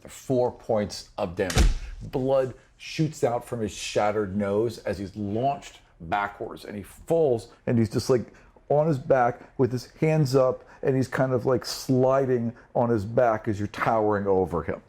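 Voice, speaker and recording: A man's voice: mid-range voice, unhurried, darkish tone. A man narrating a tabletop fantasy game as its game master and host, in a vivid, conversational style.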